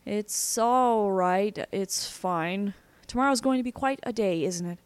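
A woman's voice: a little high, lively intonation, fast talker, no room sound, pitched above the performer's own voice, lightly acted. A sinister storybook villain who commands assassins, voiced by a woman. The manner is breezy and falsely kindly, in a dry recording. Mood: gleeful, sinister. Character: genial, sinister male villain, master of assassins.

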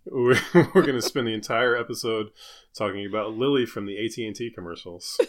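A man's voice: on the low side, brisk. A man co-hosting a podcast in casual comedic chat, with a joking, teasing manner. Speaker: podcast co-host.

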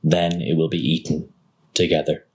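A man reading a literary text aloud as scripted narration, in measured, deliberate phrases with pauses. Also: extremely low pitch, level tone, a little mellow, poor audio.

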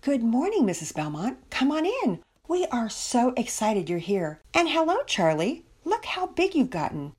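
A woman's voice: on the high side, huge pitch swings, moderate pace, hardly any pauses, darkish tone, husky, pitched above the performer's own voice, full character voice. A woman voicing a kindly, devout mother in a storybook reading, speaking earnestly and patiently. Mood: welcoming. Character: kindly, devout, instructive mother hosting guests.

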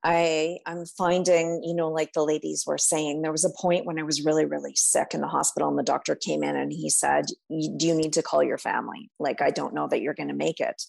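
A woman's voice: deep voice, fairly even intonation, fairly quick, creaky, hardly any pauses, darkish tone. A woman speaking as a panel guest, giving earnest, reflective personal testimony and often checking in with "right?".

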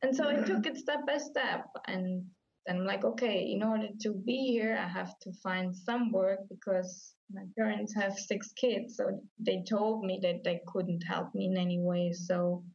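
A woman's voice: mid-range voice, unhurried, vocal fry, darkish tone. A woman from Austria with an Austrian German accent, a native German speaker telling her story as an interview guest and acting out her own past thoughts. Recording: slightly dull lo-fi sound.

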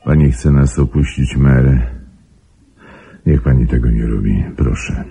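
An actor playing a brooding, persuasive wealthy gentleman in a radio play, husky, halting and intensely pleading. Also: soft background music, exaggerated voice. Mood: earnest, persuasive. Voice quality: very deep, gravelly, husky. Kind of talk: Polish radio play acting.

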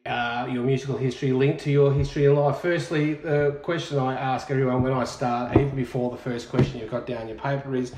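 Brief: man, podcast host, loud and chatty, mid-range voice. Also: mid-range voice, little pitch movement, a little fast, few pauses, echoey room, slight background noise. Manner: loud, self-described loudmouth. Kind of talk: casual interview chat.

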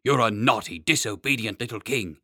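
A man's voice: lowish voice, normal speed, pushed and bright, dry recording, studio quality. A man voicing a stern, indignant and pompous court Chancellor in a storybook. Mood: angry.

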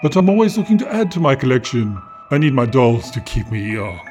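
A man's voice: medium pitch, very animated, a little fast, darkish tone, close to an everyday voice. A creepy, glamorous doll collector, voiced by a man, purring her lines with theatrical, sweetly menacing charm. She sounds like a children's audio story villain, with faint music underneath. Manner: theatrical, sweetly menacing, calls people darling. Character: creepy glamorous woman who collects dolls.

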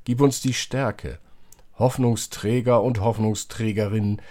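Slow, low-voiced man praying solemnly. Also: steady tone, dark tone. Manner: solemn, measured phrasing. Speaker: clergyman leading prayer. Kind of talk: liturgical prayer, church service podcast.

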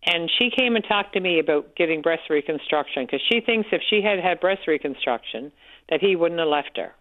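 A woman with a Newfoundland accent calling in to a radio show, loud-voiced and frank, talking on and on. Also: lowish voice, expressive, fairly quick, no echo. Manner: frank, talkative storyteller. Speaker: caller, support group organizer. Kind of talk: radio call-in chat. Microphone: telephone. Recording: tinny phone line.

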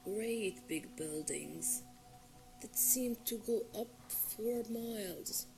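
A woman narrating a story in a slow, hushed, breathy voice, heard as a played clip. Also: medium pitch, rough recording. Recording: played clip with faint music.

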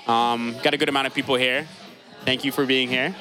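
Male podcast host, mid-pitched and easygoing, short affirmations; loud DJ music behind. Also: a little fast, rough recording. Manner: relaxed, affirming, brief interjections. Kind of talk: casual interview. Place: busy venue with a DJ playing music. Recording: live event with music behind.

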